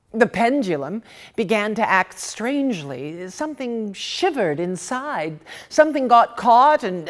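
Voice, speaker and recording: A woman reading a literary story aloud theatrically and dramatically, with deliberate hesitations and repeated words. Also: medium pitch. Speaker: reader of short stories.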